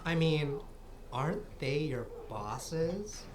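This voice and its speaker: Woman as a low-voiced, practical ally. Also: lively intonation, extremely slow, a little hiss or hum, heavily acted. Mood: urgent. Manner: blunt, practical. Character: blunt, quick-thinking ally, urgent and practical. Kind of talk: audio drama acting.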